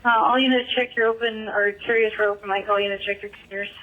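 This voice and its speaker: A woman's voice: medium pitch, fairly even intonation, fast talker, very noisy. A woman dispatcher broadcasting firm, procedural instructions to units over police radio. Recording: muffled police radio transmission.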